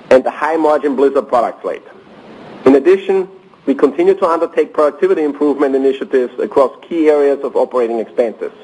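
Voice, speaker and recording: A man reading prepared financial results on a company conference call, in a measured, formal, businesslike way. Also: mid-range voice, a little mellow, rough recording.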